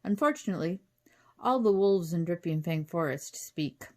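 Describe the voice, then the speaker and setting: A woman voicing a kindly, calm little male professor with a British accent and quaintly proper manners, in a storybook reading. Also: fairly low pitch, normal speed, close to an everyday voice. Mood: polite, wary.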